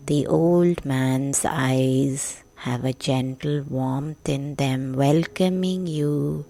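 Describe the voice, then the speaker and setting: A woman narrating a guided sleep meditation in a gentle, hushed, soothing manner with long pauses. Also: rumbling low, creaky. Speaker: meditation guide, podcast host.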